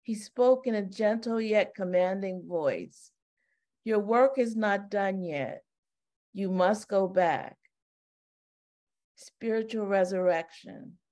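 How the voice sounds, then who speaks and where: Slow, measured woman reading a poem. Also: mid-range voice, a little mellow. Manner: slow, measured, solemn delivery. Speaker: co-chair reading her own poem. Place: home, indoors, video call. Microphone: webcam on a video call.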